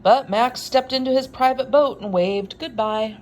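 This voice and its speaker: A woman reading a picture book aloud in an expressive, unhurried way, with wry, casual asides. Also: on the high side, slight background noise.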